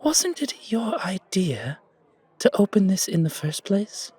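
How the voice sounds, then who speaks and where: Man acting out refined enigmatic confidant, audio roleplay, higher male voice, unhurried, polished theatrical phrasing, gracious. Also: sing-song, a little mellow, husky, heavily acted. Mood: teasing.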